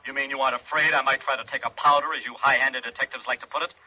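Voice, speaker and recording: An actor playing an indignant, sarcastic young rich heir in a 1950s radio drama, heard as if over a telephone. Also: male voice, fairly even intonation, rapid-fire, a little hiss or hum. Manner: peevish, taunting, high-strung. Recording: thin muffled telephone voice, vintage radio.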